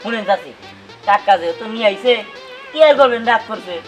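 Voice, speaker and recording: A woman's voice: mid-range voice, fairly quick, soft background music. A woman acting a chatty neighbor giving advice in a comic drama, in village dialect. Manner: advising, chatty.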